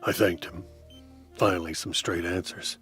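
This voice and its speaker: Man, very low voice, deadpan, wry, hard-boiled first-person narration, faint music under. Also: normal speed. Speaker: narrator and detective lead.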